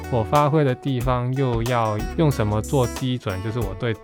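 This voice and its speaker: Hong Kong-accented man, low-pitched guest over music. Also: normal speed. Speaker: guest illustrator. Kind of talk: casual explanatory interview talk.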